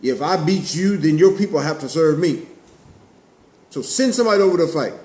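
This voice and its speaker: A boastful giant champion played by a man from a children's storytelling show, scornful and challenging. The voice swings widely in pitch, in an echoey room with noise behind it. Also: expressive, a little fast, a little mellow, natural voice. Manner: taunting, challenging. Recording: roomy echo, clear background noise.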